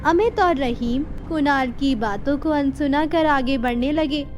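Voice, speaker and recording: High-voiced woman narrating a horror story. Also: measured pace. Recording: music under the voice.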